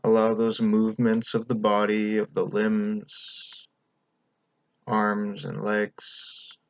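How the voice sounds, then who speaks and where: A man leading a guided meditation as the teacher, speaking in a calm, gentle, unhurried way with pauses between instructions. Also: lowish voice, dry recording, highs cut off.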